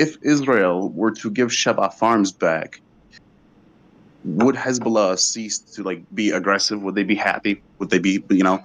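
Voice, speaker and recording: A man's voice: fairly low pitch, highs cut off. A man calling into a live show, talking casually and searching for his words as he explains. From United States-born, of Lebanese background. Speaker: caller. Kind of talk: casual discussion.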